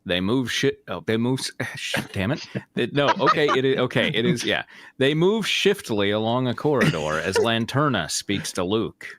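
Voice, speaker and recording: A man's voice: medium pitch, big pitch swings, normal speed, fairly bright tone. A man narrating a fan-fiction script aloud in an informal way as a show co-host.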